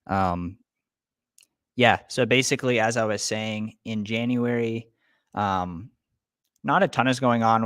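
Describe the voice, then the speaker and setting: A young man hosting a casual live stream, chatting loosely with viewers and filling pauses with lots of ums. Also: on the low side, no echo, clean studio sound. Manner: relaxed, many ums, greets chat viewers. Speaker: livestream host. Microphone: webcam setup.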